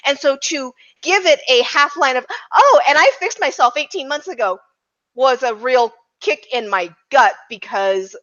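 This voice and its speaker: A woman's voice: high-pitched, animated, normal speed, fairly bright tone, highs cut off. A woman chatting casually as a podcast panelist, speaking emphatically and repeating phrases for stress. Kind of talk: casual roundtable chat.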